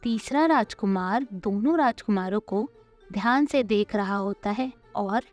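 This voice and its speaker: A woman's voice: medium pitch, a little slow, darkish tone, soft background music. A woman narrating a scripted children's story as a storyteller.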